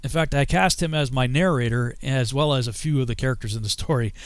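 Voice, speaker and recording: A man gives conversational documentary narration. His pitch is average for a man. The sound is very dry, with no room echo. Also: quick pace. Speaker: filmmaker and narrator.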